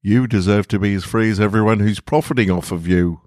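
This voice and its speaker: A man's voice: lowish voice, brisk, darkish tone, no echo, studio quality, natural voice. A man voicing a blunt working man in a story, insistent and exhorting as he pushes someone toward freedom. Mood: exasperated. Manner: emphatic, exhorting.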